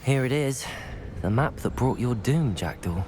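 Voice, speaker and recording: A man's voice: medium pitch, lively intonation, measured pace, lightly acted. A young man playing a curious, brave teenage wizard in a video game, musing aloud to himself. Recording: background music under the voice.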